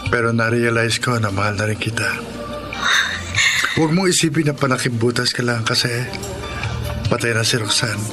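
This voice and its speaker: An actor with a Filipino accent playing an affectionate, earnest man in love, sincere and soft-hearted, in a radio drama. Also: mid-range voice, normal speed, long pauses, lightly acted. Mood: sincere, hesitant. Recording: radio drama with prominent music underneath.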